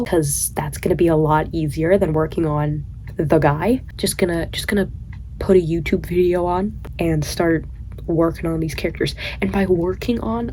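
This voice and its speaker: A woman's voice: fairly low pitch, warm tone, slight background noise, low-quality recording. A tired young woman recovering from a cold, rambling casually about her writing on a late-night vlog. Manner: rambling, self-deprecating, many "like"s. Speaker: writing vlogger.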